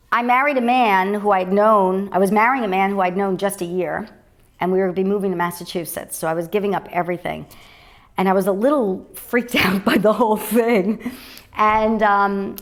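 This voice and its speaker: A woman's voice: medium pitch, normal speed. A woman telling a personal wedding story in a candid, chatty way, with fillers. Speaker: interviewee.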